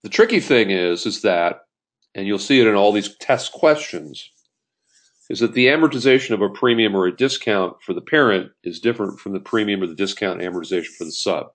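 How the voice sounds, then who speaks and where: Man, low voice, instructor, step-by-step explanatory lecture, rephrases key points. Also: brisk. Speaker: accounting instructor. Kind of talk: explanatory lecture, walking through a worked example.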